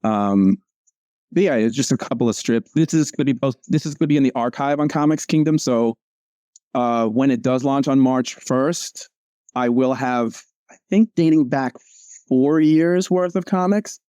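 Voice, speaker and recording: A man talking casually about his comic strips, rambling and restarting his sentences with many fillers. His voice is mellow and relaxed, and the sound is dry and close. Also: mid-range voice, normal speed, clear, resonant tone, no room sound. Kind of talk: casual chat over a video call.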